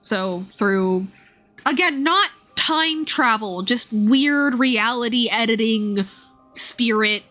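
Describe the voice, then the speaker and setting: A woman livestreaming a video game, talking casually and explaining things in a thoughtful, halting way. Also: fairly high pitch, sharp tone. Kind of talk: casual game stream commentary and read-aloud. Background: music.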